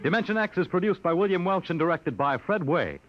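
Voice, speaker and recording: A man's voice: high voice, lively intonation, a little fast, muffled recording, noisy background. A man announcing in formal, theatrical 1950s radio style, reading scripted credits. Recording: band-limited old radio broadcast with music. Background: music.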